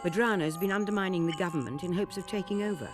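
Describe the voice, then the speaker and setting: A woman playing a stern older intelligence chief in a video game, crisp and commanding. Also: on the low side, fast talker, over music, close to an everyday voice. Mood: grave. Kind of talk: video game cutscene acting.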